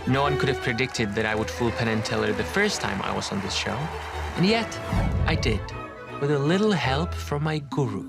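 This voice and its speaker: Gravelly man, mock-dramatic voice-over. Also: mid-range voice, lively intonation, normal speed, over loud music. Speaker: magician narrating his intro film. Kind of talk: scripted comic voice-over.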